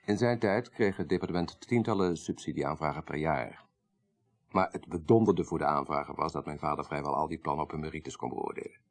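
An actor with a Netherlands Dutch accent playing an articulate, grieving professor in a radio crime drama, speaking precisely with dry irony. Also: male voice, extremely low pitch, normal speed. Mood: lecturing. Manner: precise, lecturing, dryly ironic. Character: grieving, articulate male professor, child of a murdered minister.

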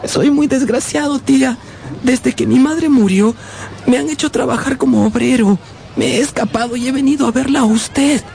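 A man's voice: extremely high pitch, normal speed, vocal fry, a little mellow, a faint crowd. An actor playing a pleading, tearful runaway orphan boy of ten in a radio drama.

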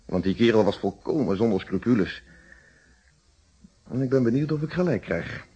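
A man's voice: medium pitch, animated, moderate pace, warm tone, slightly rough. An actor playing a blunt, probing police inspector in a radio play, stern and lecturing as he questions people. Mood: wry. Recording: muffled vintage radio play recording.

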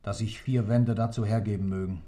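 A man's voice: fairly low pitch, steady tone, fairly quick, mellow, close to an everyday voice. A man playing a grave moralist who condemns lovers in a literary radio play, speaking in a solemn, accusing manner. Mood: stern, bitter.

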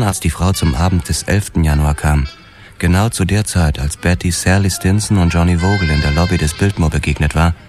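Actor as very deep hard-boiled detective. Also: male voice, lightly acted. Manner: terse, matter-of-fact. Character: hard-boiled male police detective narrating his case, dogged and sober. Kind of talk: German radio drama, first-person crime narration. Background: music.

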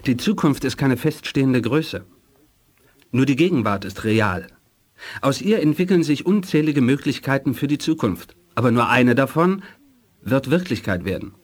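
An actor playing a calm, earnest male psychic, measured and reflective, in a radio play. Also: medium pitch, natural voice. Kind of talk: 1980s German radio play acting.

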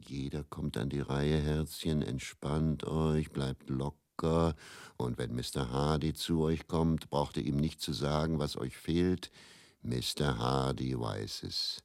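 Man doing a deep, reverent, coaxing showman. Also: slow, creaky, no echo, full character voice. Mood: reverent, soothing. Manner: hushed reverent drawl, lips close to microphone. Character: reverent, coaxing showman announcing a faith healer.

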